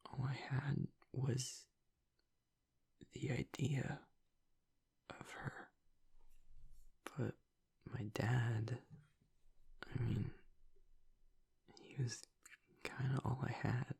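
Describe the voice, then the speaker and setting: Man acting a gravelly, whispering, grieving young werewolf. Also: mid-range voice, steady tone, a little mellow, exaggerated voice. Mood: wistful, grieving. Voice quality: breathy, gravelly near-whisper. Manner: halting, hesitant, confiding. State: choked up with grief. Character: grieving young male werewolf boyfriend, tough but vulnerable. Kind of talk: intimate audio roleplay monologue.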